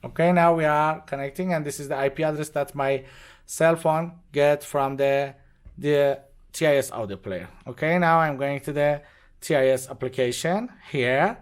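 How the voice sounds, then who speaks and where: A man with non-native, halting English walks step by step through an unscripted product demonstration. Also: fairly high pitch, clear, resonant tone. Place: indoors at a desk with devices.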